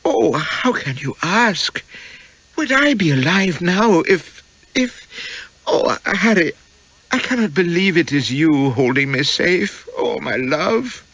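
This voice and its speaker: A man voicing a sweet, jealous, scatterbrained young Victorian wife, breathy and pleading as he reads the story. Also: mid-range voice, huge pitch swings, moderate pace, fairly bright tone, no room sound, pitched above the performer's own voice, heavily acted. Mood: tearful. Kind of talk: audiobook character voice.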